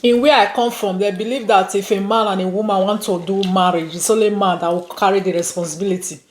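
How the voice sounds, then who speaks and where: A woman's voice: mid-range voice, steady tone, quick pace. Nigerian woman chatting in an echoey room. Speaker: mukbang vlog host. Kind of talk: casual chat while eating. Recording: roomy echo, faint noise, dish clinks. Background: clicks, cutlery and dishes.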